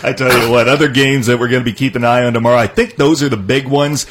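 A man hosting a sports talk show, running through college football games in a brisk, knowledgeable way. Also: medium pitch, fairly even intonation, a little hiss or hum. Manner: brisk and knowledgeable, runs the segment. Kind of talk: casual sports chat.

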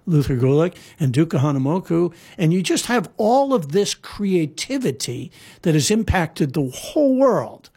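Husky man telling stories, rambling. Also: fairly high pitch, very animated, normal speed, a little mellow. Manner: rambling, with frequent fillers and restarts. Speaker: guest storyteller. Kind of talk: casual conversational storytelling. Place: lives in Hawaii.

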